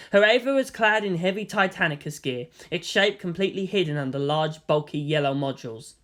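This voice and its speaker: A fan fiction reader narrating a long story in a steady, even storytelling voice, acting out the dialogue. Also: mid-range voice. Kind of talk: audiobook-style narration.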